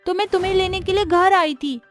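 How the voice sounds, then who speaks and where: An eager little village daughter from a moral storybook tale, voiced by a woman. She is earnest and insistent, with an extremely high voice. Soft music plays behind her. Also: a little fast.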